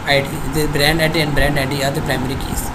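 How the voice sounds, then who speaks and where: A young man with a Pakistani accent giving a halting software demonstration in non-native English phrasing. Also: fairly high pitch. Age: young adult. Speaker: student presenter. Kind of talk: screen-recorded software demonstration. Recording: noisy home screencast, steady background hum. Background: constant clear background noise.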